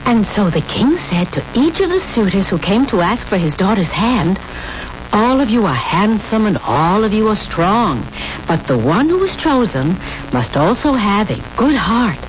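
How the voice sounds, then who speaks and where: A woman playing a caring, practical wife and mother, expressive and solicitous, in 1970s radio drama style. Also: medium pitch, measured pace. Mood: gentle storytelling. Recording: muffled, hissy vintage radio broadcast.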